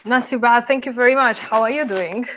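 A woman's voice: a little high, fairly quick, a bit bright, a little hiss or hum, low-quality recording. A woman in her twenties from Romania, a guest on a travel podcast, answering in a friendly way with frequent "um"s. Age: 20s. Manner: friendly, many um fillers, laughs lightly. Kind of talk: podcast interview.

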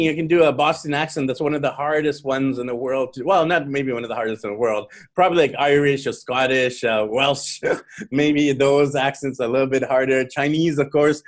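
A man hosting a podcast interview, enthusiastic and full of praise for his guest. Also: mid-range voice. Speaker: podcast host.

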